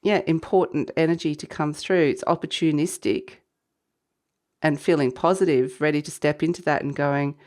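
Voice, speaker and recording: A woman with a slightly croaky voice from a cold, giving a weekly tarot reading to camera in a meandering, reflective way. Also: low voice, fairly even intonation, moderate pace, a little mellow. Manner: meandering, reflective, intuitive musing. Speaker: tarot reader.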